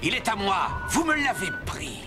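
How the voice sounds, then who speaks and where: A man's voice: very high-pitched, very animated, normal speed. A man voicing a stern, possessive, threatening horse hunter in a French cartoon dub. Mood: angry, threatening. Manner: imperious, threatening. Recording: music behind the voice.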